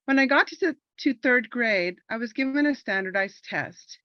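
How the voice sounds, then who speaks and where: A woman's voice: a little high, animated, moderate pace, no echo, dull audio. Earnest woman telling her own story. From Los Angeles, California. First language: Spanish. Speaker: army veteran performing a monologue. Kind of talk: personal monologue performance.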